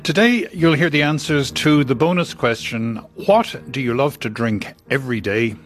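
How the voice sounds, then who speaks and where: A man's voice: medium pitch, moderate pace, over music. Male radio co-presenter reading listener mail.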